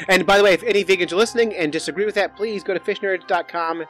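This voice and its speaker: A man's voice: high voice, quick pace, fairly bright tone, soft background music. A man hosting a podcast in an informal, jokey way, chatting casually and thinking aloud as he goes through news stories. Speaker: podcast host.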